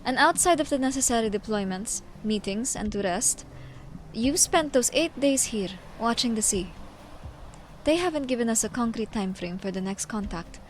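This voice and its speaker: A woman's voice: on the high side, expressive, normal speed, a little hiss or hum, natural voice. A woman voicing a grave, eloquent old male inquisitor in game dialogue, speaking formally and reflectively. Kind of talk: game dialogue read aloud.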